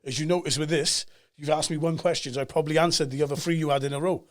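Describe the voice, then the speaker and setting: A man in his forties with a Cardiff Welsh accent, talking as a guest on a podcast and telling stories with emphatic energy. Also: a little high. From Cardiff, Wales. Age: 40s. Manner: animated storyteller, fast and emphatic, acts out quoted voices. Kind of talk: casual podcast chat.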